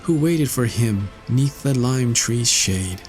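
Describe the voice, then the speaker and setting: A man voicing a gentle, lovelorn young maiden in a fairy tale, tender and plaintive in old-fashioned phrases. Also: mid-range voice, expressive, takes it slowly, soft background music, voice pushed up. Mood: sorrowful, plaintive.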